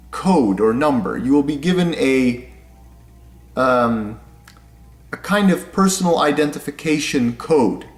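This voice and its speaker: A young man from Indonesia, explaining things step by step in a casual vlog, talking slowly and often repeating or correcting himself. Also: a little high. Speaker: vlogger. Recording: echoey room.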